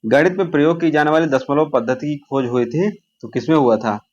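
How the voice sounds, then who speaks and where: A man's voice: fairly high pitch, a little fast, darkish tone. A man teaching an exam-prep lesson, asking quiz questions and answering them himself, repeating the key answers. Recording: dull lo-fi audio.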